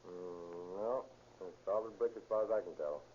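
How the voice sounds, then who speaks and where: An American actor playing a skeptical, plain-spoken police officer in a 1930s radio drama. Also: male voice, sits low, normal speed, dark tone, natural voice. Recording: muffled, noisy 1930s radio recording.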